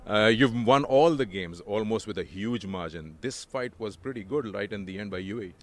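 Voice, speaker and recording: A man's voice: lowish voice, moderate pace. A male presenter interviewing a winning captain and signing off the broadcast at an outdoor cricket ground. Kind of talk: post-match interview and sign-off.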